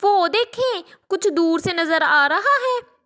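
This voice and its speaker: A woman's voice: extremely high pitch, animated, slow, bright, forceful tone, voice pushed up, exaggerated voice. A woman voicing a sweet, caring mother sparrow in a children's cartoon story. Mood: eager.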